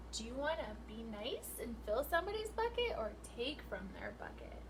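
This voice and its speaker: A woman with a high, swooping voice reads aloud to young children at an unhurried pace. She speaks like a teacher, instructing and prompting her listeners. There is faint noise behind her voice. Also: fairly bright tone, poor audio. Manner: instructional, asks questions and prompts children to repeat. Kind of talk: picture book read-aloud for young children.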